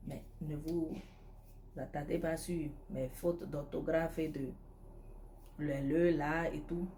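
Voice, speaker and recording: Woman, not a native french speaker, lecturing viewers on self-care, low mellow voice, keeps hammering the point. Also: steady tone, takes it slowly, a little hiss or hum. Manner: preachy, emphatic, addresses viewer as tu, repeats key points. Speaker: beauty advice vlogger selling skincare products. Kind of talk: direct-to-camera advice talk.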